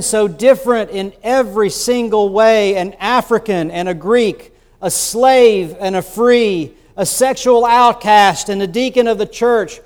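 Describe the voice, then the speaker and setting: High-voiced bishop preaching in a reverberant church. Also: male voice, darkish tone, clear, resonant tone. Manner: storytelling, conversational with rhetorical asides. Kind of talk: sermon. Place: church sanctuary.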